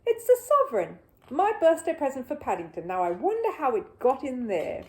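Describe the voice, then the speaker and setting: Woman as a kindly, courteous storybook gentleman. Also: high-pitched, huge pitch swings, moderate pace, roomy sound, pitched above the performer's own voice, full character voice, poor audio. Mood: amazed. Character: kindly, courteous gentleman shopkeeper, friend of the bear. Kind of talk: children's storybook character reading.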